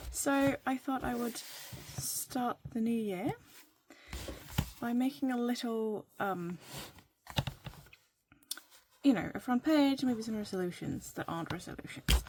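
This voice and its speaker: A woman from Norway, whose first language is Norwegian, chatting casually in a playful, rambling way that sometimes drops to a soft whisper while she writes in her journal. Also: a little high, background noise. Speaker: vlogger.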